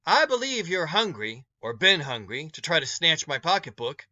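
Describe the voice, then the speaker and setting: A man voicing a stern but kind, motherly older woman in a storybook read-aloud, scolding in a folksy, emphatic, drawn-out way. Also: on the high side, sing-song, sharp tone, highs cut off, heavily acted. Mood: firm.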